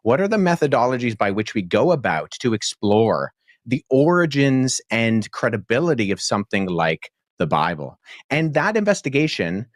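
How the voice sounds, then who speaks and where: A young man speaking as a debate guest, earnest and articulate, laying out his reasoning at a measured pace with frequent fillers. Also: medium pitch, sing-song, dry recording, studio quality. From born in Pakistan, childhood in Jordan. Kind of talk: podcast debate answer.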